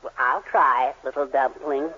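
A man's voice: medium pitch, steady tone, unhurried, over loud music, lightly acted. A man playing a meek, hesitant middle-aged husband and father in a 1940s radio comedy. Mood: timid, doting. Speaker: sitcom actor. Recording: noisy, muffled 1940s radio recording.

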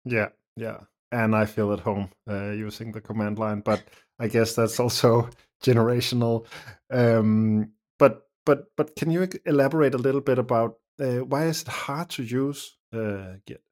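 Halting male podcast host. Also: medium pitch, steady tone, a little mellow. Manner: hesitant, halting questions with repeated words. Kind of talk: podcast interview.

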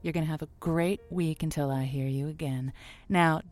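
A woman's voice: deep voice, fairly even intonation, moderate pace, darkish tone, soft background music, lightly acted. A woman playing a wry late-night lonely hearts radio host in an audio drama. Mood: smooth, affectionate. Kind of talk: semi-improvised audio drama, radio call-in show patter.

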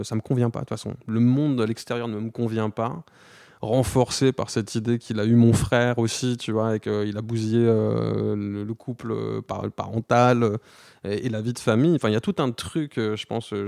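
A man in his thirties speaking candidly in an interview, slangy and halting, with many restarts and fillers. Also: lowish voice, creaky, a little mellow, dry recording, clean studio sound. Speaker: guest.

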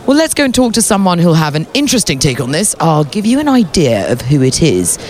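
A woman's voice: low-pitched, very animated, a little fast, darkish tone. A woman hosting a sports podcast, interviewing a guest on location at a tennis venue in a playful, teasing way. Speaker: interviewer and show host.

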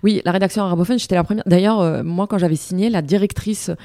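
Moroccan-born woman journalist in her 40s, lowish mellow voice, dry close sound, lively with lots of restarts. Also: quick pace, dry recording. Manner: lively, restarts often, many fillers. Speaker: journalist and TV news presenter. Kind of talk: interview, recounting her career.

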